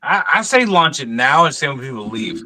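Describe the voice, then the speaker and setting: Animated, blunt man amid crowd noise. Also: on the high side, a little fast. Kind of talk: casual banter.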